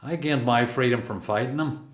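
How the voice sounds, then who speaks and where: A man's voice: medium pitch, moderate pace, lightly acted. Northern irish lifer played by a man, reflective and defiant, mid voice, echoey cell sound. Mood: world-weary. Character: reflective, seasoned long-term male prisoner, quietly defiant. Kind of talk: radio play dialogue and narration. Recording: echoey room.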